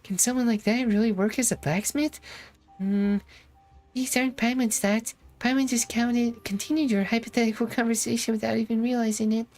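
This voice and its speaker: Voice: medium pitch, fairly even intonation, fairly quick, pitched above the performer's own voice, close to an everyday voice. A streamer voicing a small childlike floating companion from a game, chirpy, eager and suspicious. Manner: bright third-person patter, eager. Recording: background music.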